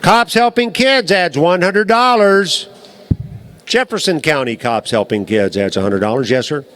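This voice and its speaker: A man working as a livestock auctioneer, rolling out a rapid rhythmic chant of repeated bid numbers and bidder names. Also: on the high side, animated, a bit bright.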